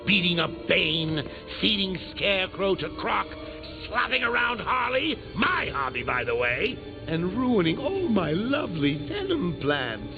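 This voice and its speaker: High manic game clown villain, voice leaps all over the place, slow sneering drawl, echoey tinny speaker with loud music. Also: male voice, big pitch swings, exaggerated voice. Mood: gleeful. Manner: taunting, theatrical, swings between sing-song glee and shouting. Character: manic grown male clown villain, gleefully cruel. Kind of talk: video game voice acting.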